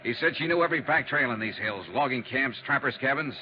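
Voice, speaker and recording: An actor playing a determined, level-headed investigator in a 1950s radio detective drama, with clipped, businesslike, decisive delivery. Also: male voice, medium pitch, fast, close to an everyday voice. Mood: urgent, reasoning. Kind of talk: 1950s radio detective drama acting with first-person narration. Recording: muffled, noisy 1950s radio recording.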